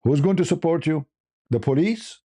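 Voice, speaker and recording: Mellow-voiced man with an Arabic accent. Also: a little high, animated, moderate pace. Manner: blunt, repeats phrases for emphasis. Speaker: livestream host. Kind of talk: impromptu opinionated monologue.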